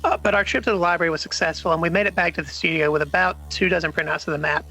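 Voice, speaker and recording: A man's voice: fairly high pitch, steady tone, rapid-fire, gravelly, bright, forceful tone, faint music behind, full character voice, rough recording. A man playing an anxious, hesitant character in an audio drama, speaking in an informal, earnest way. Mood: reflective narration. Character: anxious, hesitant man chasing a conspiracy mystery. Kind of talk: naturalistic audio drama dialogue and first-person narration.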